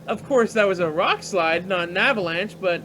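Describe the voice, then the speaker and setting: 男演员扮演的随性司机，语调起伏大. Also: male voice, high voice, animated, moderate pace, a bit bright, a little hiss or hum. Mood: offhand. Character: easygoing male driver and pilot, bold, folksy. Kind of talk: radio play acting.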